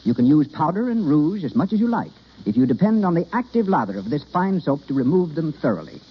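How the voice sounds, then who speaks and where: An American man announcing and pitching products in the polished style of old-time radio. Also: sing-song, a little fast. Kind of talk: 1930s-40s radio announcing and sales pitch. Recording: muffled, rough vintage radio broadcast.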